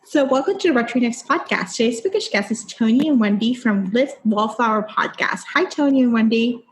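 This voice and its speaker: A woman's voice: fairly high pitch, animated, a little fast, a little hiss or hum, rough recording. A woman from Puerto Rico hosting a book podcast, talking in a chatty way and laughing often. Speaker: podcast host. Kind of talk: casual chat.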